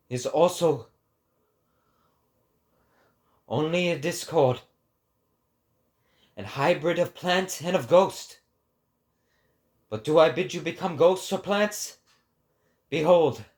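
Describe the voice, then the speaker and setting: A man voicing an impassioned male prophet who declaims like an orator in a dramatic reading. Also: sits high. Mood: grave, scornful.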